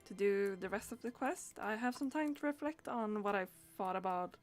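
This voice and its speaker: A woman's voice: medium pitch, animated, normal speed, faint music behind. A woman streamer chatting casually in a hesitant, rambling, giggly way. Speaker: live streamer. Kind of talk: casual livestream chat.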